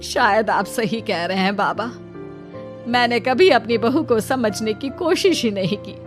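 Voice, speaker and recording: A woman voicing an older, mellowed mother-in-law who speaks sincerely in an animated moral story. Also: on the high side, unhurried, over music. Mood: remorseful.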